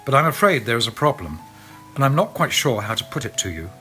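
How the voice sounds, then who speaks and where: A man's voice: medium pitch, very animated, fast talker, pushed and bright, faint music behind. A man voicing an awkward, apologetic Australian woman friend who stammers and hesitates as she delivers bad news. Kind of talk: audiobook character voice.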